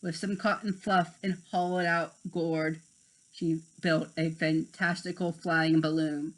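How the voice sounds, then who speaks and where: A man's voice: fairly high pitch, flat delivery, unhurried, poor audio. A man reading a children's story aloud as narrator, now and then stumbling over a word and rereading it. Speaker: storybook reader.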